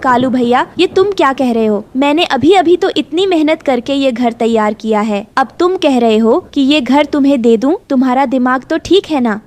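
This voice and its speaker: A woman's voice: high-pitched, moderate pace, few pauses, a little mellow, natural voice. A woman voicing a kind, hardworking mother bird in a cartoon fable, earnest and motherly. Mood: indignant. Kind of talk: animated fable dialogue.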